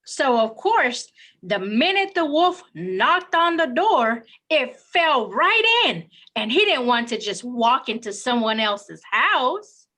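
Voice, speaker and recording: A woman's voice: high-pitched, big pitch swings, slow, sharp tone. A woman narrating a scripted story in reader's theater style.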